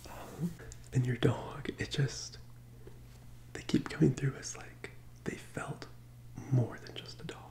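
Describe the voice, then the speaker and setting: A man doing a soft-spoken ASMR role-play as a gentle psychic medium, in a breathy, hesitant whisper close to the microphone. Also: medium pitch, slow pace, long pauses. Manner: hesitant, gentle, soothing delivery.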